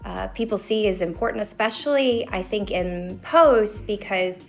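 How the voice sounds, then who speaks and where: A woman's voice: mid-range voice, unhurried, gravelly, soft background music. A woman working in post-production, speaking in a documentary interview, passionate and encouraging.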